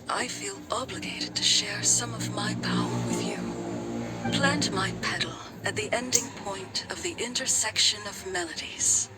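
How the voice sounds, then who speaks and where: A woman playing a frail, gentle flower spirit in a video game, speaking slowly and formally with hushed, breathy moments. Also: mid-range voice. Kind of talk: video game character acting. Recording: game music playing behind voice.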